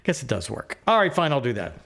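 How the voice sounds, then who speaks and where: A man's voice: mid-range voice, huge pitch swings, fast talker, creaky, a bit bright, slight background noise. A middle-aged man, a podcast host in a casual phone chat, talking in a wry, grumbling, self-deprecating way.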